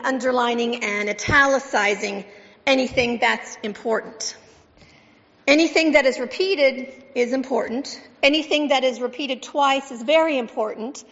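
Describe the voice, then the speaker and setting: A woman pastor reading scripture and giving a sermon, measured and emphatic, asking the congregation questions. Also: on the high side, a bit bright. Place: church sanctuary. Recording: dull sound with long church reverb.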